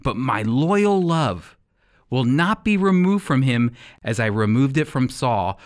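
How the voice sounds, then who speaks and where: An American man teaching the Bible like a radio preacher, with emphatic, deliberate phrasing and rhetorical questions. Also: mid-range voice. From United States. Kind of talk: sermon-style Bible teaching with scripture reading.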